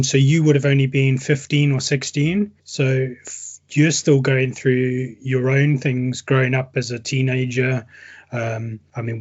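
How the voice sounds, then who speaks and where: Husky-voiced man hosting a podcast. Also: medium pitch, muffled recording. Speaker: podcast host. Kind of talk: casual interview. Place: England.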